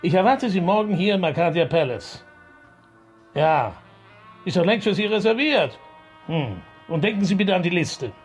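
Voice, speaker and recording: A man's voice: high-pitched, animated, moderate pace, pauses often, breathy edge, faint music behind. A man playing a courteous, slightly flustered middle-aged police commissioner who loves opera, in a conversational radio crime comedy, polite, hesitant and wry. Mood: irritated, brisk. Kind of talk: German radio play acting, conversational.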